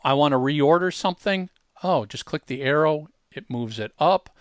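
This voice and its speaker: Man giving a casual, dry-sounding software walkthrough. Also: fairly high pitch, expressive, moderate pace, clear, resonant tone, dry recording. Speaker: presenter demonstrating a web tool.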